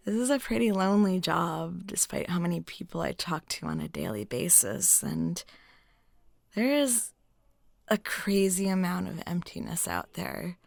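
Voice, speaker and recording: A woman acts out a talkative, flirty waitress in an intimate audio roleplay. Her mid-pitched voice is soft and noticeably creaky, slipping toward near-whispers. She sounds lonely and smitten, recorded very dry, with no room around her. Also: no room sound. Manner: soft, rambling, giggly, drops into near-whispers.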